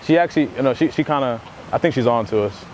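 A man's voice: medium pitch, fast talker, background noise. A young American man doing a casual fake phone call as a prank, talking in slangy, profane language. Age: young adult. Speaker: prankster host of a hidden-camera show. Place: outdoors on a campus walkway.

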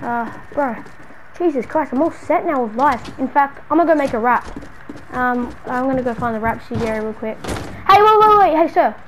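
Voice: high-pitched, expressive, moderate pace, fairly bright tone, slight background noise, poor audio. A young performer playing a brash, exasperated young man in an improvised game roleplay, slangy and repeating bro and man. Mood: casual, chatty.

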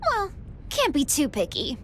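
A woman voicing a taunting, predatory android villainess with a sultry, unhurried manner in an anime game. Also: sits high, huge pitch swings, fairly bright tone, a little hiss or hum, heavily acted. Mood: smug.

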